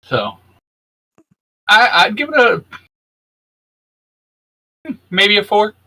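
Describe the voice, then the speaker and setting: A man's voice: sits high, sing-song, very slow, sharp tone. A man co-hosting a casual chat, chipping in with short, informal reactions and opinions. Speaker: co-host.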